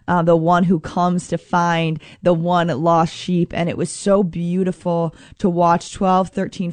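A woman hosting a faith radio show, earnest and upbeat, with a slightly scratchy, hoarse voice. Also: on the low side, a little mellow, no echo. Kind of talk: prayerful scripture talk.

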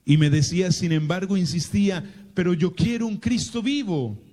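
A Colombian priest with a Medellín accent preaches in a storytelling sermon, slipping into the voices of the people in his stories. His voice sits a little high for a man but sounds mellow and dark. A long reverb trails each phrase, as if he speaks in a large hall. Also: lively intonation, moderate pace. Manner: dramatic, acts out the people he quotes.

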